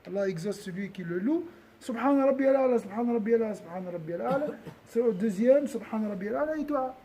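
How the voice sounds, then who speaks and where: Male religious teacher, high-pitched but mellow in tone, talking to a room, checks in with listeners, loops back on his words. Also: animated, brisk. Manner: questions the audience often, repeats and restarts phrases. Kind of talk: religious lesson to a gathering.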